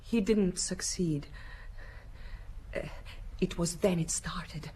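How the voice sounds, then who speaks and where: An actress playing a fragile, anxious young woman in a radio drama, hesitant, breathy and overwrought. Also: lowish voice, takes it slowly, mellow, slight background noise. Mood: hesitant, troubled. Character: anxious, fragile young sister of a missing man.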